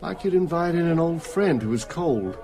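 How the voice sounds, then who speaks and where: An actor playing a sick, frightened small-town working man in a radio drama, speaking in a weary, halting, dazed way. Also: on the high side, expressive, crowd noise. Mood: exhausted, haunted.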